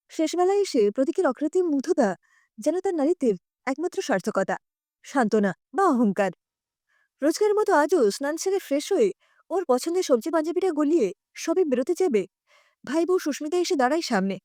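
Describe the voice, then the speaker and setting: Female storyteller for audio fiction: high but mellow, expressive, very close dry sound. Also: no room sound. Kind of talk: scripted audio story narration.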